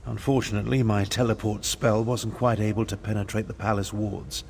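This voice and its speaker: An actor playing a wry, fastidious and theatrical prince and mage in a fantasy video game, articulate and self-assured. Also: male voice, lowish voice, little pitch movement, creaky, a little mellow, a little hiss or hum. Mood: wry, composed.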